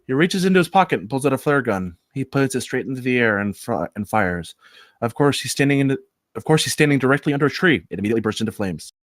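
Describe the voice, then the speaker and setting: Male narrator for a script table read, mid-pitched and quick, reading stage action, dry recording. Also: expressive, no room sound. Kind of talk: reading screenplay action aloud.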